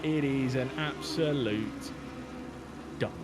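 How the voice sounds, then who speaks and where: A man's voice: mid-range voice, slow, heavy background noise. A man commentating a race in a loose, chatty way. He drifts between the action and viewer messages, filling gaps with ums and little laughs. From England. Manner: chatty, rambling, many fillers, reads chat aloud. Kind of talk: casual live race commentary.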